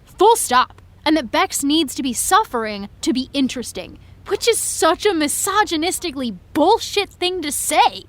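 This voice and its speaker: A woman's voice: high-pitched, very animated, normal speed. An actress playing an upset, indignant young female fan in an audio drama, emphatic and sarcastic with her friend. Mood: defensive. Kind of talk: naturalistic audio drama acting.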